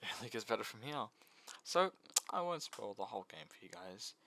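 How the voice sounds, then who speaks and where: A man's voice: on the low side, sing-song, fairly quick, creaky, bright, forceful tone. A man giving a casual, jokey video essay about games, with mock sincerity.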